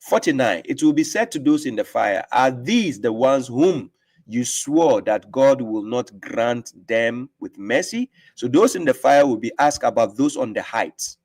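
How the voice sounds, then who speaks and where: A man hosting a live stream, reading verses aloud slowly and explaining them. He often adds a questioning "right?". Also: medium pitch, lively intonation, a little mellow, slightly rough. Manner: reads scripture aloud with slow emphasis and explains it; asks rhetorical "right?" often. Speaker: host and religious teacher.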